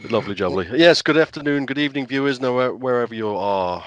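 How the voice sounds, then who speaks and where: A man rattles off quick, jokey asides as a podcast co-host, his mid-pitched voice moving at a brisk clip. He sounds like a regular sidekick bantering beside the host. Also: lively intonation, fairly bright tone, highs cut off.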